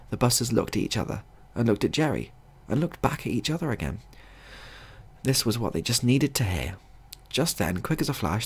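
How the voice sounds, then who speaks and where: A man narrating a scripted comic short story as a storyteller, in a husky, gravelly voice. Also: lowish voice, a little mellow.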